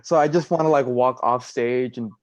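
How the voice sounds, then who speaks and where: A man with a medium-pitched voice chats as a cast member. Faint noise and occasional clicks sit behind him. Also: normal speed, muffled recording. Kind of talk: casual cast Q&A chat.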